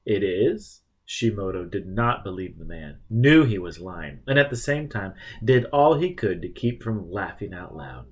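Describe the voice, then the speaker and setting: A man's voice: low voice, big pitch swings, moderate pace, few pauses, breathy edge. A man reading a first-person novel aloud as dramatic scripted narration. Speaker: audiobook reader.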